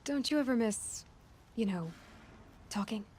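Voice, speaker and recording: A woman's voice: a little high, lively intonation, unhurried, slight background noise. A woman playing a curious, direct and wary young huntress in video game dialogue. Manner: inquisitive, thinking aloud. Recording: loud music behind the voice. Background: loud music.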